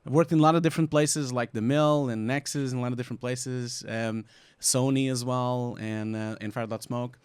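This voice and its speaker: A man's voice: medium pitch, fairly even intonation, a little fast, darkish tone, clear, resonant tone, no echo. A man in his forties with a Portuguese accent, chatting as a podcast co-host in an informal, rambling, joking way. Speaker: podcast co-host, visual effects supervisor. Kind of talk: casual podcast chat.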